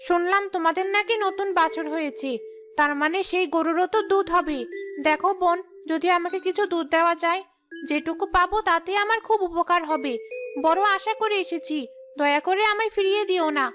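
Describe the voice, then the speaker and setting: An actress playing a polite, pleading village stranger who keeps a sweet shop, in a cartoon story. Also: female voice, extremely high pitch, a little fast, a bit bright. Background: music.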